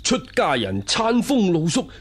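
A man playing a solemn, high-minded Taoist priest in a radio drama, with grave, stately theatrical delivery. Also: wildly swooping pitch, a little slow, a little hiss or hum, exaggerated voice. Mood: lofty, solemn. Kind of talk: Cantonese radio drama acting.

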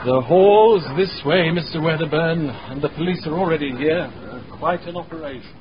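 An actor playing a polite, educated young gentleman in a radio drama, slightly hesitant. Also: on the high side, normal speed, crowd noise, natural voice. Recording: dull recording, busy background murmur.

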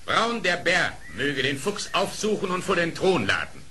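A man's voice: fairly high pitch, sing-song, normal speed, noisy background. An actor playing a stern, indignant animal king in a children's radio play, declaiming regally. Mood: indignant, commanding.

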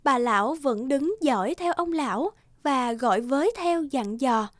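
A woman's voice: sits high, expressive, unhurried, no room sound, studio quality. A woman narrator reading a scripted storybook tale. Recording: loud guitar music underneath.

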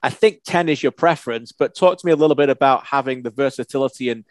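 Middle-aged English host, dry headset sound, friendly and prone to stumbles. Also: male voice, medium pitch, fast talker, dry recording. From England. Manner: friendly and encouraging, stumbles over repeated words. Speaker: interviewer, host. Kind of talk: sports interview over video call. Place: home study with bookshelves. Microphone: headset mic.

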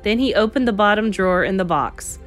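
A woman reads a children's picture book aloud in the manner of a teacher. Her narration is expressive, at a medium pitch, with music playing behind it. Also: fairly quick.

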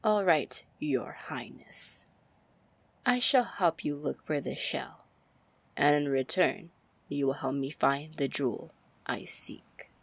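A woman playing a confident, commanding, flirty female pirate captain in an ASMR fantasy roleplay, breathy and deliberate. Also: dry recording, heavily acted. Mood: businesslike, resolute. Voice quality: breathy, low, husky. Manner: slow, deliberate, smooth-talking. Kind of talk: ASMR roleplay acting, fantasy audio drama.

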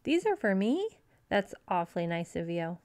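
A woman's voice: medium pitch, very animated, normal speed, a little mellow, close to an everyday voice. A woman voicing a mild, curious young boy bear cub in a storybook, speaking with innocent wonder. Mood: delighted.

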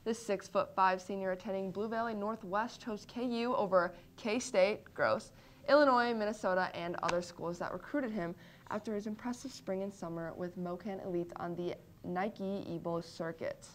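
Young woman, news co-host, mid pitch, upbeat, light background noise. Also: normal speed. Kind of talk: reading news and casual studio chat. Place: campus TV studio.

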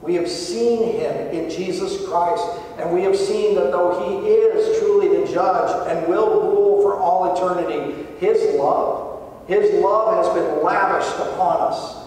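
A man's voice: high voice, steady tone, unhurried, a little mellow. A middle-aged man preaching a sermon from a church pulpit, earnest and emphatic. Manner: earnest, emphatic preaching with rising and falling intensity. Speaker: pastor. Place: large church sanctuary, at a pulpit. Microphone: far camera, pulpit mic. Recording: echoey church hall from far off.

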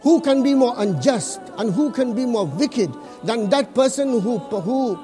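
A man giving a Friday sermon as imam, preaching earnestly with rapid recited quotations and repeated phrases for emphasis. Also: very high-pitched, lively intonation, dark tone, soft background music.

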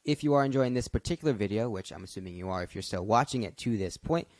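Dry, lower-pitched young American male host. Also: expressive, fast, a little mellow, no echo. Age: young adult. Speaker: YouTube channel host. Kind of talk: casual interview hosting. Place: small indoor home studio. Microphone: close desk microphone on boom arm.

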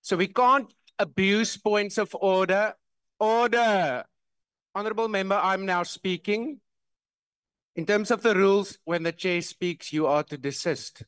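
A man chairs a parliamentary debate, his voice high and firm as he restates rulings. The sound is dry and close at a desk microphone in a large chamber. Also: unhurried, a bit bright, no echo. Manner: firm, authoritative, repeats rulings. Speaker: presiding officer chairing a session.

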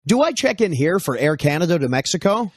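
A man with a husky voice playing airport parts in a scripted lesson dialogue. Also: on the high side, very animated, fairly quick, no echo, studio quality. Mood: polite. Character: brisk official or traveller at an airport.

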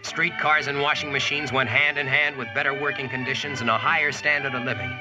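An actor with an American accent playing a confident, wry Yankee boss and storyteller in a 1940s radio drama, plain-spoken. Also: male voice, medium pitch, steady tone, a little fast, close to an everyday voice. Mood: wry, reflective. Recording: muffled vintage radio, music underneath.

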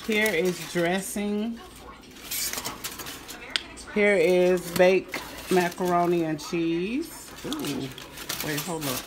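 A woman's voice: fairly low pitch, extremely slow, pauses often, background noise. A woman vlogger casually walking through her holiday dishes at home, filming on a handheld phone. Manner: relaxed, chatty, pointing out dishes one by one. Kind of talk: casual cook-with-me vlog tour. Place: home kitchen and dining room. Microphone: handheld phone camera.

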